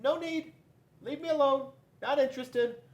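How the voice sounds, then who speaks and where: Storybook paper warrior: tiny high falsetto, timid, reluctant, nervous repeats. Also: male voice, normal speed, roomy sound. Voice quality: squeaky falsetto.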